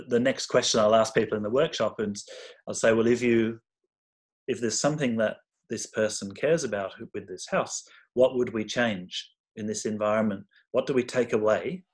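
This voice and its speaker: Reflective man presenting, slightly low voice. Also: a little mellow. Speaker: workshop presenter, architect. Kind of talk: informal online talk.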